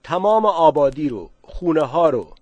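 A man's voice: fairly high pitch, lively intonation, dry recording, pitched above the performer's own voice. A man reading the lines of a smiling, sly guide who coaxes the villagers in a breezy, persuasive way. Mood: coaxing.

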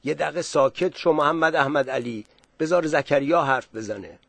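A man's voice: fairly high pitch, gravelly, fairly bright tone, no room sound. A cautious old village headman, as a narrator puts him on, polite to strangers but unsure of them. His voice is somewhat raised and recorded close and dry. He speaks in a hesitant, courteous way. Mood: wary.

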